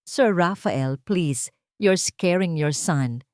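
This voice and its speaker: A woman's voice: fairly low pitch, lively intonation, takes it slowly, a little mellow, no echo. A woman narrator acts out a private nurse with a secret. She is composed, polite and professional, guarding herself in an audio romance drama. The sound is close and very dry. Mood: polite, guarded. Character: composed, secretive young nurse hiding a mother's identity.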